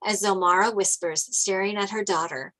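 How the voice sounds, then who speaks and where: A woman's voice: mid-range voice, steady tone, moderate pace, a bit bright, poor audio. A woman reading stage directions as narrator at a script table read.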